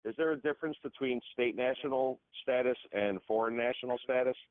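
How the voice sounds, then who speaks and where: A man's voice: mid-range voice, a little fast, no room sound. A man politely and agreeably asking questions as a participant on a conference call. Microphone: telephone. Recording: dry, narrow phone conference line.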